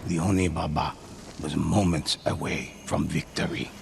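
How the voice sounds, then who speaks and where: A man acting as a solemn storyteller, narrating old legends in a measured, hushed, gravelly voice for a video game. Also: extremely low pitch, little pitch movement, noisy background, exaggerated voice. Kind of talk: scripted dramatic storyteller narration. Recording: dramatic game music behind the voice.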